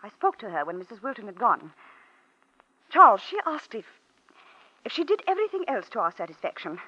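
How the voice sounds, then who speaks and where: An actress playing a suspicious, indignant, imperious wife in a 1940s radio drama, complaining emphatically. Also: female voice, a little high, big pitch swings, fairly quick, sharp tone, slightly rough, no echo, full character voice. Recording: muffled old radio recording.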